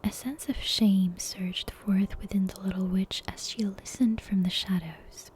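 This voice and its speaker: A woman whispering a bedtime story in a soft, breathy, gentle ASMR style, close to the microphone. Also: medium pitch, fairly even intonation, unhurried. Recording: clear background music and ambience. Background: soft game soundtrack music, ambience.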